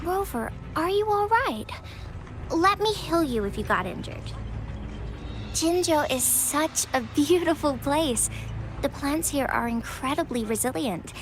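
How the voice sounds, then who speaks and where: An actress playing a gentle, caring and considerate young healer in a video game. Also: female voice, sits high, expressive, a little slow, poor audio. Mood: gentle concern. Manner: soft-hearted, considerate. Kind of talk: video game character dialogue. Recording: prominent music under the voice.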